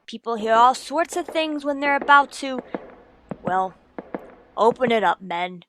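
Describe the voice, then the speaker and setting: A woman's voice: a little high, animated, a little slow, fairly bright tone, a little hiss or hum. A woman playing a grave, steadying prison warden in a radio drama. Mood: grave, regretful. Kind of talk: old-time radio drama acting.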